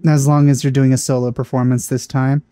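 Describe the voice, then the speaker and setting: A man's voice: mid-range voice, little pitch movement, brisk, warm tone, no echo, clean studio sound. A man in his thirties giving casual reaction commentary as a video host, speaking into a headset. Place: small indoor room setup with green screen.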